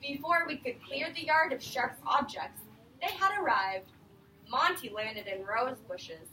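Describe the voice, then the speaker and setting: A woman with a high voice reads aloud as a teacher to a group of young children. She is expressive and patient, stopping to encourage them with questions. The sound is roomy and picked up from a distance, with a little noise behind it. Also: measured pace. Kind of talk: animated picture-book read-aloud with questions to children. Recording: roomy, distant mic, faint background noise.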